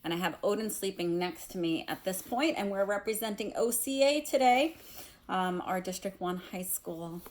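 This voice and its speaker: A woman speaking as a teacher doing a read-aloud of a children's novel, explaining things in a careful, measured way. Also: medium pitch. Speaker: teacher reading aloud to students.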